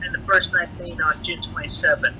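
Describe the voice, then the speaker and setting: A man talking on a private phone call in a halting, stiff way with oddly formal wording. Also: a little high, little pitch movement, sharp tone. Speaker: interviewee on a leaked call. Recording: muffled, noisy leaked phone recording.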